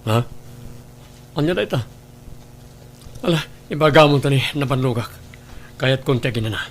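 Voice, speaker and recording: A man's voice: medium pitch, expressive, moderate pace, natural voice. Man acting an evasive, defensive husband, noisy recording. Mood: wary. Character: evasive, defensive husband and father with a secret love. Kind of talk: Ilocano family radio drama acting.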